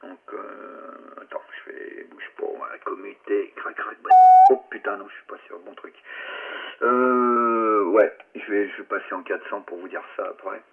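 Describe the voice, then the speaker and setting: A man's voice: lowish voice, steady tone, a little slow, gravelly. A man chatting casually as a radio operator, colloquial and salty, with muttered asides, over a narrowband transmission. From France. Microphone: radio transceiver microphone. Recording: narrowband ham radio, light noise.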